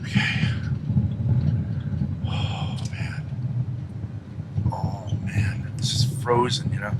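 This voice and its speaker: A man chatting casually on a livestream, with loud vehicle noise around him. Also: lowish voice, steady tone, drawn-out words. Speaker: livestreamer on a road trip.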